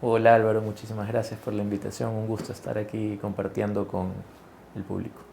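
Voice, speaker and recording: Gravelly low-voiced man, chatty executive. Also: level tone, fairly quick, dark tone, a little hiss or hum. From Ecuador. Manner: conversational, many fillers and self-corrections, tag questions. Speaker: guest executive. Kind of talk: interview conversation.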